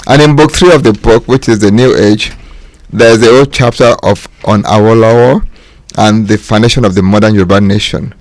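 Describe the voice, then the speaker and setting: A man giving an explanatory talk as a radio guest, in deliberate phrases broken by pauses. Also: fairly low pitch, dry recording. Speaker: author and radio guest speaker.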